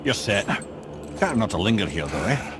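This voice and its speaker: An actor playing a wry, knowing older guide in a video game. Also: male voice, on the low side, sing-song, fairly quick, noisy background. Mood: wry, urging. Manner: wry, explanatory, conversational. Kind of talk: dramatic video game acting.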